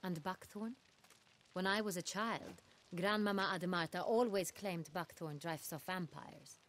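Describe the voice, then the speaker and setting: An actress playing an imperious duchess in a video game, speaking in a formal, aristocratic manner. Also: female voice, on the low side, measured pace, fairly bright tone. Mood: questioning. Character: regal, imperious female duchess. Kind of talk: video game cutscene acting.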